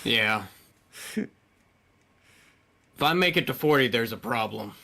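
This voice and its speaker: A young man in his twenties speaking in an easygoing, joking manner as a podcast co-host. His voice comes across bright and fairly forceful. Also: mid-range voice, expressive, takes it slowly, gravelly. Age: 20s. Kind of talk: casual chat.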